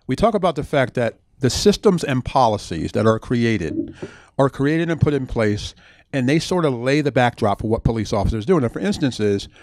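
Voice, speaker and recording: A man's voice: medium pitch, darkish tone, dry recording. Middle-aged man, author at a bookstore talk, earnest and explanatory, mid-range voice, close dry sound. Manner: earnest, with restarts and explanatory asides. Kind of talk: author answering questions at a book event. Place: bookstore event space.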